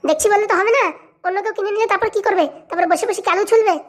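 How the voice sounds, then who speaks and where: A performer voicing a scheming, sharp-tongued mother in a comedy cartoon, pushy and scornful. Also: extremely high pitch, fairly even intonation, racing.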